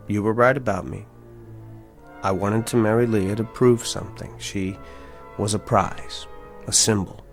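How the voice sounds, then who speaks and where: An actor playing a persistent suitor in a TV drama, talking in a deliberate, persuasive way. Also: male voice, lowish voice, vocal fry, a little mellow. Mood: contrite, pleading. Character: persistent, calculating suitor bargaining with his sweetheart's father. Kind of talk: scripted TV drama acting.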